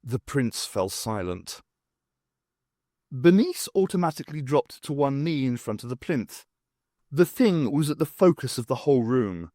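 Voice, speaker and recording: Male narrator, scripted storytelling, expressive intonation, mid pitch, deliberate pauses, wry. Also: no room sound, studio quality. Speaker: audiobook narrator.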